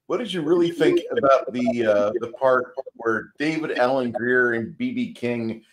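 A man's voice: medium pitch, moderate pace, slight background noise, poor audio. A man co-hosting a casual movie review over a video call, telling long rambling stories with wry humor. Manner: rambling storyteller, wry, hesitant with fillers. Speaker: co-host.

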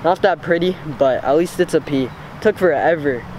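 A young man fishing outdoors beside a pond, talking straight into a wearable action camera. His voice sits a little high, and he breaks into excited exclamations whenever a fish bites. A faint hiss runs under the outdoor recording. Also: normal speed, rough recording. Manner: excitable, bursts into exclamations on each catch. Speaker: fishing vlogger. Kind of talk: live running commentary while fishing.

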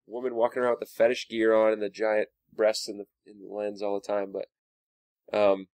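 Male discussion guest, on the lower side, conversational and halting, close dry audio. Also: monotone, a little mellow, no room sound. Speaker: panel guest. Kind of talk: casual panel discussion.

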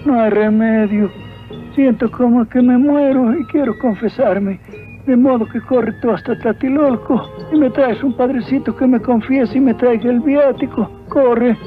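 An actor playing a frail, sick old uncle in a cartoon, gentle and plaintive. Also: male voice, extremely high pitch, measured pace, faint music behind. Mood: weak, pleading. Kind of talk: dubbed cartoon acting. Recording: thin, phone-like old film soundtrack.

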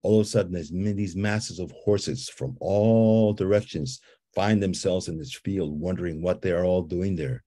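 A man from Guatemala who leads ceremonies, guiding listeners through a slow, calm visualization and telling a story with soft repeated invitations. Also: low-pitched. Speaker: ceremony leader guiding a visioning exercise.

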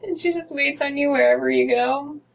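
A woman's voice: high-pitched, a little fast, gravelly. A young American woman co-hosting, switching between casual banter and reading stories aloud. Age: young adult. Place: small dim room indoors. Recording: somewhat distant from mic.

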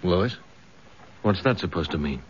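A bluff, plain-spoken British man, loyal and tough, with a low voice that moves freely. It has the dull sound of recorded radio drama. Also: animated, measured pace, slightly rough, exaggerated voice. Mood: firm, concerned.

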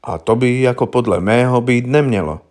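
A man's voice: mid-range voice, a little mellow, close to an everyday voice. A man voicing a polite, candid police investigator in an audiobook, asking questions in a deferential, coaxing, colloquial way. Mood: earnest. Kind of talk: audiobook character voice.

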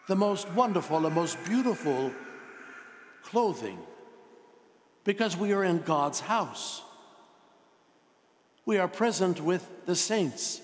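A man in his sixties, a priest giving a sermon in a deliberate, emphatic way with rhetorical questions and pauses. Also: fairly high pitch. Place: large church, indoors at the altar. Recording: long reverberant church echo.

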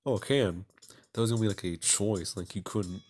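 A man's voice: lowish voice, fairly quick, a little mellow. A man hosting a let's play, reading aloud and commenting casually, often tripping over his words and poking fun at himself. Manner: stumbles over words while reading, self-mocking. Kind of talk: casual gaming commentary and read-aloud narration.

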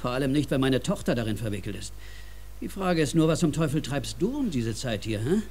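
A man's voice: medium pitch, very animated, brisk, a little mellow. A man playing a middle-aged, stern, skeptical police officer and father in a German audio drama, blunt and authoritative. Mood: stern, dismissive. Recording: faintly noisy cassette audio drama.

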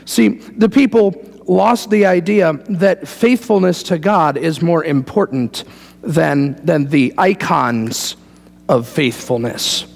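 Gravelly American preacher in a reverberant church. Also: male voice, a little high, slow, slight background noise. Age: middle-aged. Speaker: pastor preaching. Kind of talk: sermon with conversational asides. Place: large church sanctuary, indoors, standing before a congregation.

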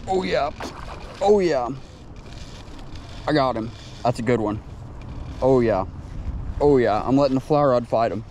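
Young man, excitable, talks to fish, outdoor lakeside, chest camera, mellow tone, faint background noise. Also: medium pitch, unhurried, long pauses. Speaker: fishing vlogger. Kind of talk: excited solo fishing vlog narration. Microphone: chest-mounted action camera.

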